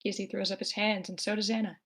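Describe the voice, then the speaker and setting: A woman narrates a screenplay in a live online table read. Her voice sits at a medium pitch as she reads out scripted action. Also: little pitch movement, quick pace, a bit bright. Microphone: remote online meeting.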